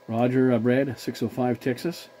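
A man chatting as a CB radio operator, courteous and measured, using formal call-sign procedure. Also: mid-range voice, little pitch movement, noisy background. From Newfoundland, Canada.

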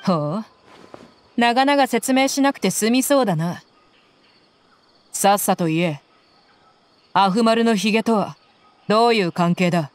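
A woman's voice: mid-range voice, expressive, unhurried, pauses often, natural voice. An actress playing a tough, confident young woman mercenary in a Japanese anime game, with blunt, intimidating phrasing. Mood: cool, threatening.

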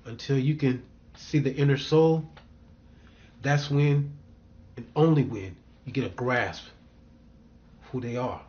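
A male actor playing a bitter, troubled middle-aged man with hidden darkness, in a brooding dramatic manner with deliberate pauses. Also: medium pitch, darkish tone, muffled recording, close to an everyday voice. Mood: menacing, reflective. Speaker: actor performing a monologue and scene reel. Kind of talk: dramatic screen acting, monologue and scene.

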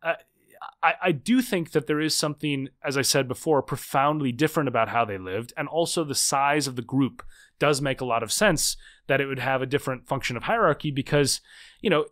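A man's voice: mid-range voice, a little fast, fairly bright tone. Thoughtful man talking in a podcast interview. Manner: thoughtful, hedging with fillers and restarts. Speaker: podcast guest, author. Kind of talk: conversational interview.

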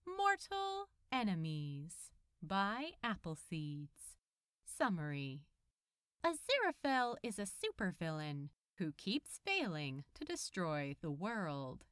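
Slow, expressive woman narrator. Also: lowish voice, smooth, no echo, clean studio sound. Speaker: fan fiction narrator.

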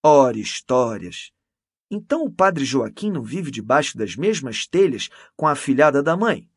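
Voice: mid-range voice, sing-song, normal speed, breathy edge, dry recording, studio quality. A reader voicing a pompous, self-satisfied, gossipy male priest who exclaims and repeats himself unctuously in an audiobook story. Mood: indignant, dismissive.

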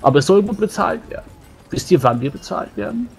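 A man playing a plain-spoken, grumbling dwarf warrior in a tabletop roleplay, speaking haltingly and matter-of-factly. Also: high-pitched, sing-song, creaky, pitched above the performer's own voice, heavily acted. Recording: faint background noise.

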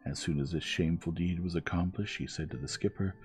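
Male bedtime story reader, very low and warm, soft measured delivery. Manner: soft, measured, soothing reading. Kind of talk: gentle scripted storybook narration. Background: music.

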